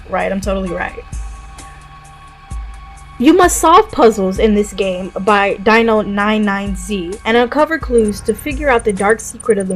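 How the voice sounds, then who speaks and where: A woman hosting a narrated top list of games, conversational, with personal asides and enthusiastic recommendations. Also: fairly high pitch. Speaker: video game reviewer, channel host.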